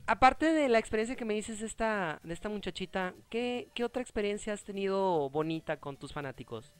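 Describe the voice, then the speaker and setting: A man's voice: high-pitched, expressive, a little fast, smooth. A young adult man with a Mexican accent, a playful and dramatic host chatting casually while he plays a video game. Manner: playful, dramatic exclamations and mock voices. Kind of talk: casual gameplay chat. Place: indoor room, seated on a webcam call.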